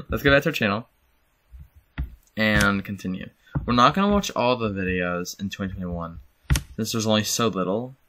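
A man's voice: on the low side, lively intonation, normal speed, pauses often, sharp tone, a little hiss or hum. A young man casually commenting on his own channel's videos while screen-recording, rambling and correcting himself. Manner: rambling, self-correcting, chuckles. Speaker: channel host.

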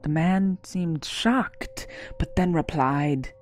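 A woman's voice: sits low, takes it slowly. A woman giving a dramatic reading of a story, sweeping in delivery, over background music. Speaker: reader.

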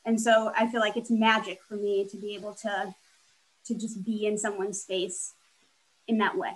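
A woman's voice: medium pitch, flat delivery, normal speed, breathy edge, low-quality recording. A young woman designer on a video call from home, speaking in an easygoing, upbeat way. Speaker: furniture designer. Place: home room with plants.

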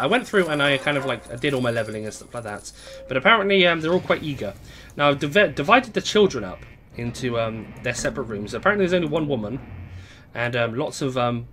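A young man with a hoarse, fading voice, chatting casually to viewers as he live-streams a game at home on a desk mic. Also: on the high side, sharp tone, slight background noise. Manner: chatty, greets viewers, reads chat aloud. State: hoarse, voice going.

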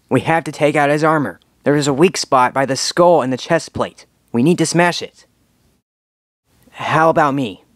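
Young male cartoon dragon hero, performed by a man: confident, earnest team leader, mid-pitched, very dry close sound. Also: dry recording, lightly acted. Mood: determined. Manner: earnest, commanding, rallying. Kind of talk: scripted cartoon fandub audition lines.

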